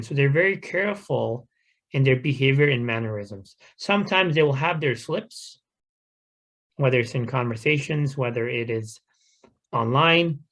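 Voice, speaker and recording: A man giving an unscripted informational talk to a community group in an earnest, measured, explanatory way. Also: mid-range voice. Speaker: guest speaker at a community talk.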